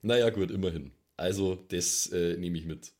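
A man's voice: deep voice, normal speed, a little mellow. A man playing a tabletop role-playing game, chatting casually and explaining things in a rambling, improvised way. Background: music.

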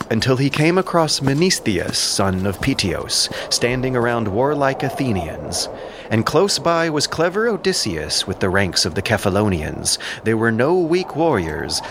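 A man's voice: fairly low pitch, noisy background. A man delivering scripted dramatic narration of an ancient epic as a storyteller.

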